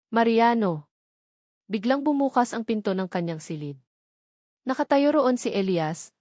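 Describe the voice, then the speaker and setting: Female audiobook narrator, medium pitch, dry recording, measured emotive delivery, dramatic storytelling. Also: a little mellow, no room sound.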